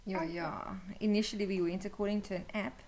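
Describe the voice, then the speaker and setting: A woman's voice: mid-range voice, steady tone, a little fast, a little mellow. A young woman, chatting casually as a guest sharing her own experience. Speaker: interview guest, new mother. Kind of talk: casual conversational storytelling. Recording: clearly noisy room ambience.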